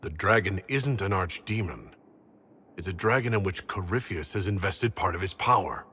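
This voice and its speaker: A man's voice: deep voice, normal speed, sharp tone, breathy edge, big-room sound, heavily acted. A man playing a determined fantasy leader in a video game, speaking earnestly and resolutely. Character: determined male fantasy hero, leader of an army.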